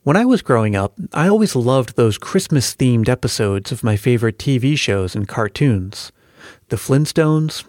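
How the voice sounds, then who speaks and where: A man's voice: medium pitch, darkish tone, dry recording, clean studio sound. A man hosting a podcast, reading scripted narration in an addressing-the-listener style. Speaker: podcast host. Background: music bed.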